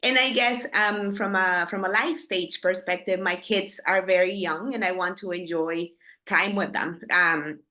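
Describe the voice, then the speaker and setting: A woman in her thirties from El Salvador, speaking thoughtfully on a conference panel with pauses and fillers. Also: on the low side, bright, forceful tone. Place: conference stage.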